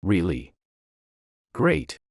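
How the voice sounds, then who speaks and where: A man's voice: low voice, wildly swooping pitch, drawn-out words, creaky, no echo, clean studio sound, exaggerated voice. A man voicing a shy, grateful teenage schoolgirl in a narrated story. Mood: shy, friendly. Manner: nervous, friendly.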